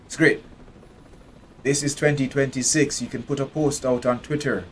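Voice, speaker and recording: A man's voice: medium pitch, steady tone, moderate pace, slight background noise. A man from Jamaica, giving blunt, profane live commentary while streaming a game.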